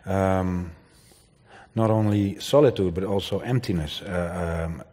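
A man speaking deliberately as a guest on a theatre stage. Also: sits low, dark tone. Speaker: guest author. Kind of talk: stage interview. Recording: reverberant hall.

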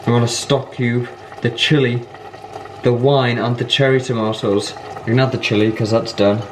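A man's voice: medium pitch, little pitch movement, normal speed. A young man in a home kitchen, chatting casually and with self-mocking bemusement as he fumbles through a recipe. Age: young adult. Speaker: vlogger. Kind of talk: casual cooking vlog. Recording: cooking noise behind.

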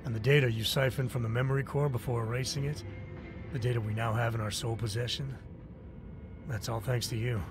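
An actor playing a gracious older mercenary patron in a video game cutscene, speaking in a measured, formal, articulate way. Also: male voice, mid-range voice, fairly even intonation, music in the background, lightly acted. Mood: congratulatory.

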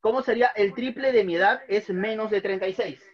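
A man's voice: high-pitched, fairly quick, highs cut off. A man in his thirties teaching math at a whiteboard in a small room, patiently repeating each step and putting questions to his students. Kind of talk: online class at a whiteboard.

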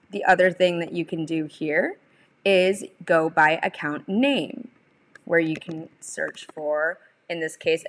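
A young adult woman presenting a software tutorial, explaining each step in an informal, instructional way. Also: sits low. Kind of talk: instructional screen-share walkthrough.

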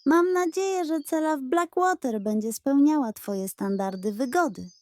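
A woman voicing a small, nervous but eager male hedgehog sidekick, earnest and excitable, in a children's story. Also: sits high, normal speed, no room sound, pitched above the performer's own voice. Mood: taunting.

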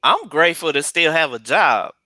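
A man's voice: a little high, big pitch swings, moderate pace, background noise. A man in his forties from San Diego, a college teacher, answering interview questions over a video call with a headset, hesitant with many fillers and small laughs. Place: home room indoors. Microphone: headset mic on video call.

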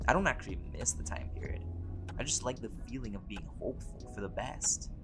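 A man acts out a laid-back male vampire who has lived for centuries, in an intimate one-sided audio roleplay. His voice sits fairly low and he chats with easy, scholarly wit and a playful teasing edge. Music plays clearly behind him. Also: brisk. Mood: musing, wry. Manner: relaxed, conversational, wryly playful. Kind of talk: conversational audio roleplay to an unseen listener.

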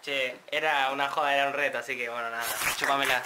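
A man's voice: mid-range voice, little pitch movement, fast. A teenage boy with an Argentine accent, joking crudely and boisterously with friends in a small bedroom, caught on a handheld camera. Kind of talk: casual joking chat among friends. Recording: echoey room, loud chatter of friends.

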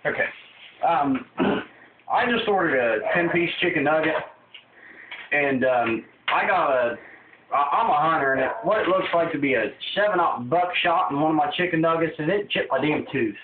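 A man's voice: a little high, expressive, moderate pace. A young man making a prank phone complaint, rambling in a folksy, confused way with mild swearing. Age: young adult. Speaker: prank caller. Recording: tinny, noisy, roomy phone-camera recording.

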